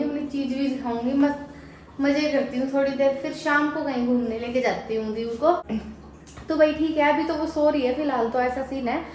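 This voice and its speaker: A woman chats through her day for a family vlog in an easygoing, talkative way. Her voice is high, the room is echoey, and a faint noise sits in the background. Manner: chatty, recounting her day. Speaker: vlogger. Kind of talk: vlog narration.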